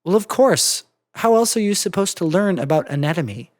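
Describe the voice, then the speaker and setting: A story reader voicing a calm, matter-of-fact female cartoon pony, measured and reasonable as she explains. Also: mid-range voice, natural voice.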